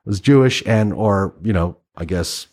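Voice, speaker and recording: Reflective middle-aged man, laughing softly. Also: fairly low pitch, a little slow. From Iran. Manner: reflective, many restarts and soft laughs. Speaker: interview guest, writer. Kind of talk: casual conversational interview.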